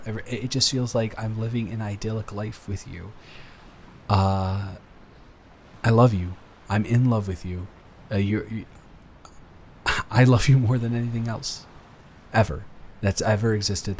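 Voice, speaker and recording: A man playing a sweet, nervous boyfriend in an improvised intimate roleplay audio, speaking in a halting, earnest, tender way. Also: lowish voice, slight background noise, close to an everyday voice. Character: sweet, smitten, nervous boyfriend proposing to partner.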